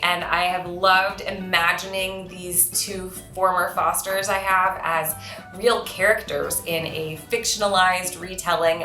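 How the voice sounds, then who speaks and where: A woman author reading aloud in an expressive, earnest children's storytelling style. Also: medium pitch, reverberant.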